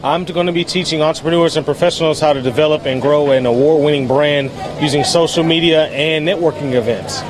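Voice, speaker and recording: A man's voice: fairly high pitch, a little fast, few pauses, crowd noise. A man in his thirties answering interview questions outdoors in a polished, promotional way. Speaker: insurance agency owner. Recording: noisy outdoor street.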